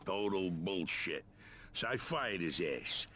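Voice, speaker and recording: An actor playing an arrogant American dockside mob boss in a crime game, bullying and full of streetwise slang. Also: male voice, low-pitched, expressive, slow. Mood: gruff, businesslike. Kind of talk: gangster drama acting. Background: loud music.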